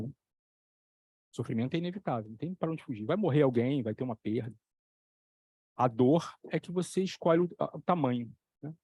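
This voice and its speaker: A man talking as a psychoanalytic lecturer, telling stories with lively examples and repeating key phrases for emphasis. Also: fairly high pitch, no echo. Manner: animated storytelling, acting out voices in the examples. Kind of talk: explanatory talk on a video channel.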